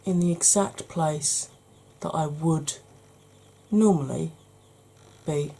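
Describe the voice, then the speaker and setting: Hoarse, husky low-voiced woman. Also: slow pace, mellow. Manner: chatty, addresses viewer directly, frequent ums. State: hoarse from hay fever. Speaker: makeup tutorial host. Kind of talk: casual step-by-step makeup tutorial.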